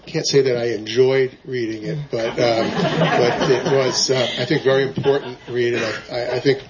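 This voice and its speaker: A man in the audience asking the author a question, soft-spoken and hesitant, with many fillers. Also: mid-range voice, steady tone, moderate pace, over a noisy crowd. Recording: dull lo-fi live event recording.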